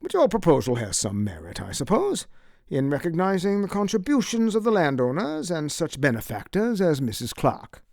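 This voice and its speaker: Man playing a placating gentleman, creaky and animated. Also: on the high side, no echo, studio quality, voice pushed up, heavily acted. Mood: considering, assuring. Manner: measured, formal, placating. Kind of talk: audiobook character acting.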